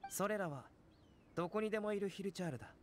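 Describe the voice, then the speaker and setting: An actor playing a calm, aloof young alchemist and artist in an anime game, speaking in a composed, intellectual way. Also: male voice, high voice, fast talker, slight background noise, natural voice. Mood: detached, thoughtful. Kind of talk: Japanese anime game voice acting.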